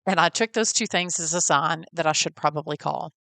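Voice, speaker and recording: Texan woman with a low, smooth voice. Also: a little fast, pushed and bright, no echo. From Texas. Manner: friendly, folksy, heartfelt. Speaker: podcast host. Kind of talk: personal storytelling.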